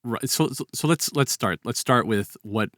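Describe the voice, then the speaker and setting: A man with a medium-pitched voice, recorded dry and free of room sound, co-hosts a podcast. He talks casually and colloquially, rambling as he explains and slipping into little quoted lines. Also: lively intonation, moderate pace, a bit bright, dry recording. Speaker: podcast co-host. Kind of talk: casual chat, informal storytelling.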